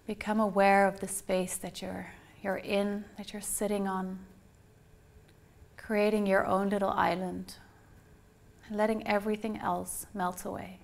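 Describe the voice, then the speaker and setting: Woman doing guided meditation, soothing and unhurried, kinda even tone w lots of vocal fry. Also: mid-range voice. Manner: soothing, unhurried, gently instructing. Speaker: meditation guide, yoga instructor.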